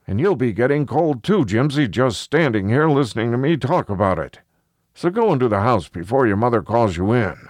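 A man's voice: mid-range voice, very animated, a little fast, a little mellow, no echo, studio quality, voice pushed up. A man voicing a cheerful, lonely old country neighbour in a storybook, rambling along in folksy, old-fashioned speech. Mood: amused, rambling.

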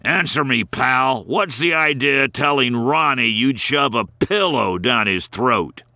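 A man's voice: mid-range voice, animated, unhurried, gravelly, sharp tone, dry recording, voice pushed up, exaggerated voice. A man voicing an angry middle-aged father who bullies a department-store Santa.

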